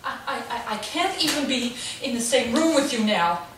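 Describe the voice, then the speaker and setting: A woman acts out an impulsive, theatrical young wife with a high, bright voice that leaps widely. She sounds distant, in an echoey room with faint hiss. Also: expressive, moderate pace. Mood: tearful. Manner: dramatic, swinging between shouting and tearful. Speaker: wife in a quarrel.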